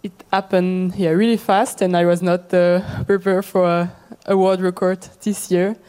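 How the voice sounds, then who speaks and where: A woman's voice: on the low side, flat delivery, normal speed, dark tone. A woman athlete giving a hesitant, unscripted interview answer.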